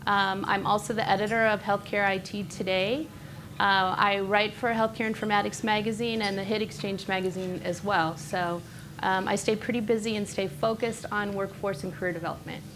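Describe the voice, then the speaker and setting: A woman of middle pitch moderates a panel, welcoming the audience with a friendly tone and the odd uh. Clear background noise sits under her voice in the room. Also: steady tone, moderate pace, fairly bright tone. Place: event room. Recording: noisy room recording.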